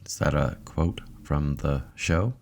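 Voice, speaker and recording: A male podcast guest with a deep, low-pitched voice. He chats casually and keeps a deadpan delivery. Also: slow. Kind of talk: casual chat.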